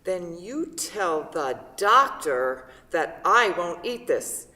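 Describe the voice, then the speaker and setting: A woman's voice: lowish voice, sing-song, takes it slowly, bright, forceful tone, exaggerated voice. A woman playing a stubborn, blunt, dying mother in a staged play reading. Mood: angry, defiant. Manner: blunt, demanding. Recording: distant, echoey hall, background noise.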